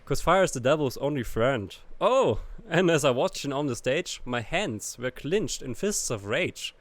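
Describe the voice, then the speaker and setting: Brief: German-accented man, podcast guest, reads lyrics then muses haltingly, mid-pitched voice. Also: lively intonation. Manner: reads lyrics aloud between halting, restarting comments. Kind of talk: casual podcast chat.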